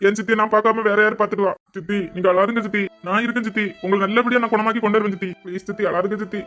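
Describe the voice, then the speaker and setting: A woman's voice: medium pitch, very fast, a bit bright, close to an everyday voice. A woman playing a devoted, tender-hearted young stepdaughter in a family melodrama, earnest and pleading. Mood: tender, soothing.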